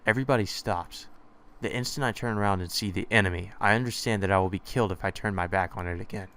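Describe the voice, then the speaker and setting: A man's voice: on the low side, quick pace, a bit bright. A man narrating a visual novel aloud in the first person, reading steadily with small stumbles. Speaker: let's play narrator.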